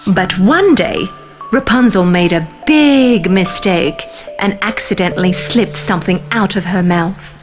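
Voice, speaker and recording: A woman narrating a bedtime fairy tale in an expressive, sing-song storytelling manner. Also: mid-range voice, measured pace. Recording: narration over music.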